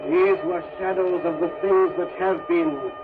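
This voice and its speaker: High-voiced, solemn guiding ghost. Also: male voice, fairly even intonation, unhurried, over music. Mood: grave, insistent. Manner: stately, questioning, commanding. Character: solemn, probing ghost guiding an old miser through memories. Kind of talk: 1930s radio drama acting. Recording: tinny, noisy 1930s radio recording.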